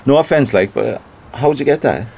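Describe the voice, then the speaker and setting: A small-time Irish theatre man in a naturalistic audio drama. He has a medium-pitched voice and a Cork accent. His talk is colloquial and gossipy, full of self-importance and a chancer's boasting. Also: expressive, brisk, vocal fry, darkish tone, breathy edge. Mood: nosy, casual.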